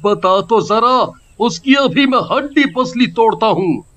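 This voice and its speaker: A man voicing a male phone seller and thief in a cartoon, talking loudly and frantically. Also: expressive, a little slow, lo-fi sound, full character voice. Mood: agitated. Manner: high, loud and frantic.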